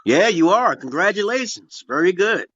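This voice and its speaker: Husky-voiced man rambling on a livestream. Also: sits high, big pitch swings, normal speed, a bit bright, dull audio. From Brooklyn, New York, USA. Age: 40s. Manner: rambling, loses his train of thought. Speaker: livestream host. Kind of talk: casual livestream monologue.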